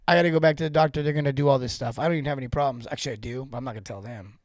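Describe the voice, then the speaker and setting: A man in his forties hosting a casual live-stream coaching talk, joking and rambling off into asides with informal, animated banter. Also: mid-range voice, rapid-fire, dry recording, studio quality. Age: 40s. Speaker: host and sales coach for plumbers.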